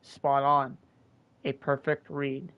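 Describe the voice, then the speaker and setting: A man's voice: mid-range voice, completely flat delivery, slow, clean tone, muffled recording. A man voicing a weary, guilt-ridden old scholar of gods, reading earnestly with small restarts. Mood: musing.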